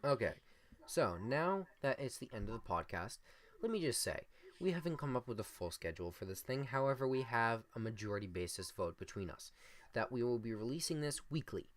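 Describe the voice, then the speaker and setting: A young man with a deep, monotone voice co-hosting a casual improvised podcast and rambling through his points on a desktop USB mic. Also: fairly quick. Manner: rambling, filler-heavy. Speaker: podcast co-host.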